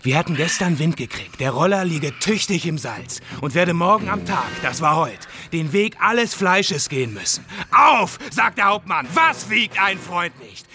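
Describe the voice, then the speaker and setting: High-voiced, swaggering rough robber. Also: male voice, very animated, moderate pace, sharp tone, soft background music, full character voice. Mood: boastful relish. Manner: boisterous, swaggering storytelling. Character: rough, bragging, bloodthirsty robber. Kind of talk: radio play acting.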